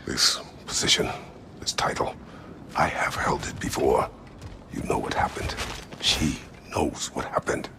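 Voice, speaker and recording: An actor voicing a gruff, stern, middle-aged war god in a video game, speaking in terse, solemn, measured phrases. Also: male voice, huge pitch swings, whispered, heavily acted. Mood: brooding. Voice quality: very deep, gravelly. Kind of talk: dramatic video game acting.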